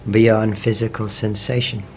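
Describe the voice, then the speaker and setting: A man leading a guided meditation, asking slow, gentle questions with long pauses between phrases. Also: fairly low pitch, level tone. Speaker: meditation teacher. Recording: faint background noise with meditation music.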